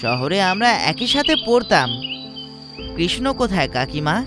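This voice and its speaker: A woman's voice: on the low side, sing-song, normal speed, over loud music. A woman voicing a polite, questioning young friend from the city in a cartoon. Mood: polite, surprised. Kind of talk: cartoon dialogue.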